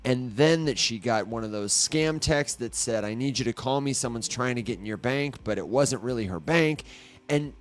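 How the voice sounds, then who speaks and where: A man's voice: medium pitch, normal speed. A man co-hosting a morning podcast in casual chat, conversational, asking his co-host questions.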